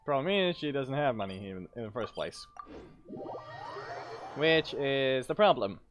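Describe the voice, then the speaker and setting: A man streaming a video game with casual commentary. His voice is medium in pitch, his delivery offhand and repetitive, with faint music underneath. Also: big pitch swings, unhurried. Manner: offhand, repeats himself.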